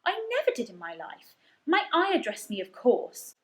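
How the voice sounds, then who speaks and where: A woman's voice: a little high, sing-song, normal speed, echoey room. A woman voicing an imperious, spoiled little girl with a haughty, demanding way of talking in a storybook. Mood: indignant.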